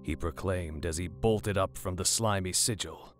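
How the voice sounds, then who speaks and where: A man narrating a dark horror story in a dramatic, scripted storytelling style. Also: deep voice, moderate pace. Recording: music bed under the narration.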